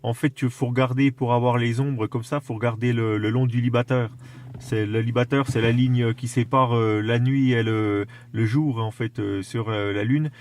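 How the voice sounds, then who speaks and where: A man in a casual radio chat, explaining things with enthusiasm while haltingly searching for his words. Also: mid-range voice, fairly even intonation, a little mellow. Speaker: amateur radio operator.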